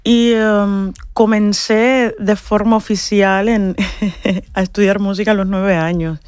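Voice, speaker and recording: Woman, Cuban accent, musician guest, casual talk, hesitant phrasing, medium pitch, dry recording. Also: fairly even intonation, slow, a little mellow, clean tone, no echo. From Holguín, Cuba. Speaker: musician, singer, interview guest.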